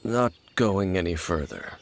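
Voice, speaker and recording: Low-voiced, brooding lone warrior. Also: male voice, expressive, very noisy. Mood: aloof warning. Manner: grave, measured, guarded. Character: brooding, aloof male warrior with ancient grudges. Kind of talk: video game dramatic acting. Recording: music playing behind the voice.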